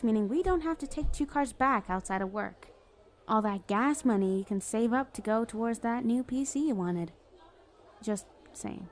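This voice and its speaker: A woman's voice: medium pitch, a little mellow, close to an everyday voice. A woman playing a flirty, self-justifying villain in an ASMR roleplay, talking in a casual, playful, sardonic way. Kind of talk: acted ASMR roleplay monologue.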